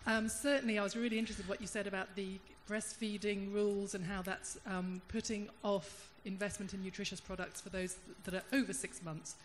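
A woman in the audience at a panel discussion, sharing thoughtful comments into a handheld microphone, pausing and restarting as she searches for words. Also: mid-range voice, little pitch movement. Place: large conference hall. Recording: hall reverb, faint room hum.

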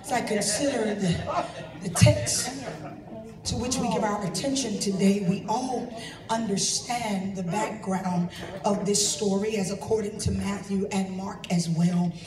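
A woman preaching a fervent sermon from the pulpit of a large church, building into shouted, rhythmic repetition. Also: medium pitch, measured pace, crowd noise. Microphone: handheld mic at pulpit, filmed from the pews. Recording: echoey sanctuary recorded from far back.

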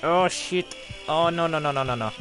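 A man's voice: fairly high pitch, normal speed, over music, close to an everyday voice. A man voicing a bungling, apologetic foreign surgeon with a put-on accent and stilted, flustered delivery in a gaming commentary. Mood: panicked, apologetic. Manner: flustered, exclaiming, stilted uncontracted phrasing, swearing. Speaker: video game player.

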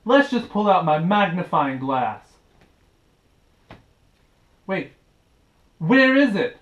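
An earnest, worn-out detective in a homemade puppet show, acted by a young man. The male voice is high and melodramatic, with room echo around it. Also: very animated, slow pace, fairly bright tone, highs cut off, exaggerated voice. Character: exhausted self-proclaimed detective puppet, earnest, aggrieved man. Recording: roomy, echoey bedroom sound.

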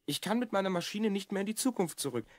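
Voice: mid-range voice, expressive, fast talker, no room sound, clean studio sound, natural voice. A performer playing a secretive boy of twelve from the future in an audio drama, explaining things confidingly. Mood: troubled. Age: child character, twelve. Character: Rhonn, secretive stranded boy time traveller from the future. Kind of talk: German audio drama acting.

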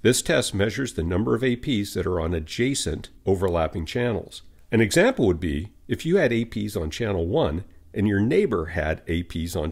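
Low-voiced, creaky male tutorial narrator. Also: a little mellow. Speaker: software training narrator.

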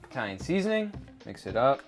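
A man's voice: medium pitch, big pitch swings, a little fast. A young man co-hosting a casual cooking video, giving step-by-step instructions. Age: young adult. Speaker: cooking show co-host. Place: home kitchen. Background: faint instrumental music.

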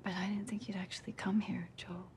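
An actress playing a gentle, apologetic young woman who leads a colony, in a naturalistic film style. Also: mid-range voice, flat delivery, fairly quick, natural voice. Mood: gentle, regretful. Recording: film audio with noise.

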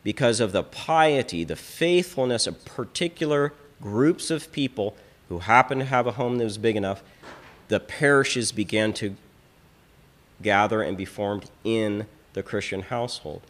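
A man's voice: lowish voice, unhurried. A middle-aged Orthodox priest giving a teaching talk at a lectern in a small church hall, emphatic and given to repeating words as he builds a point. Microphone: stand mic on lectern, filmed from a fixed camera.

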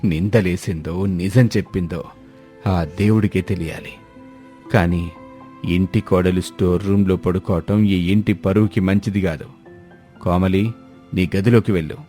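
A man voicing a stern, dignified elderly father-in-law who speaks with slow, weighty admonishment in an audio family story. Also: deep voice, fairly even intonation, mellow, soft background music, pitched below the performer's own voice. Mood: grave, firm.

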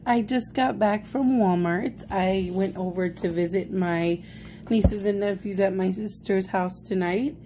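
A young woman talks at an unhurried, slow pace in a casual haul-style vlog. Her pitch sits in an average range for a woman. It is a phone recording made indoors at home, with clearly audible noise. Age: young adult. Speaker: vlogger. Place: small indoor home kitchen. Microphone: phone close at arm's length.

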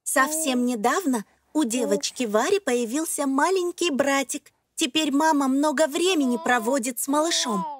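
High-pitched woman narrating for children. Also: normal speed, few pauses. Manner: simple explanatory phrasing addressed to children. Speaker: narrator of an educational children's cartoon.

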